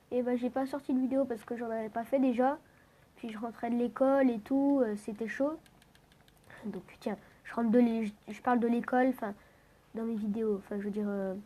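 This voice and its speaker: A woman's voice: fairly high pitch, warm tone. A teenage girl with a head cold, talking a bit through her nose, rambling casually over a game with lots of fillers and restarts. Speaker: gaming vlogger.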